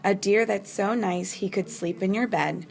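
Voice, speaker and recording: Creaky-voiced woman reading a storybook. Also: medium pitch, fairly even intonation, normal speed, soft background music. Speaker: staff member reading aloud. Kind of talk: storybook read-aloud.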